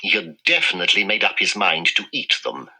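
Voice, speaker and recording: A man narrating a children's storybook aloud as an expressive storyteller. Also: sits low, fairly quick, gravelly, low-quality recording.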